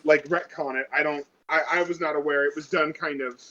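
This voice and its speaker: A man's voice: a little high, fairly even intonation, fairly quick, fairly bright tone. A man casually talking through a tabletop game out of character as a player, thinking aloud in a hesitant way. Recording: dull lo-fi audio.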